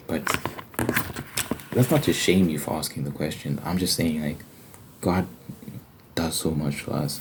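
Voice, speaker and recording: A man's voice: a bass voice, noisy background. A man speaking in an informal ASMR religious style, earnest and conversational, with repeated phrases for emphasis. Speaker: ASMR Bible study host. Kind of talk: ASMR, informal religious talk ending in prayer.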